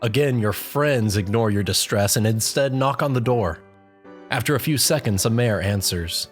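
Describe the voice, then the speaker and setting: A mid-pitched narrator delivers scripted storybook prose over light background music. The reading is addressed to the listener in the second person, as in an audio fanfic. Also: normal speed. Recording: music behind the voice.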